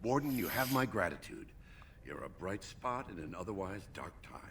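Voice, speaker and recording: An actor playing an earnest, scholarly dwarf archivist in a fantasy video game. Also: male voice, deep voice, very animated, moderate pace, a crowd in the background. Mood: grateful.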